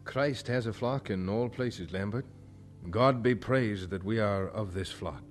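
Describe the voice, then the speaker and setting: An actor playing an earnest, stern evangelist chaplain in an audio drama, speaking in a grave, rhetorical way. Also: male voice, lowish voice, a little slow, over music, lightly acted. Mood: devout.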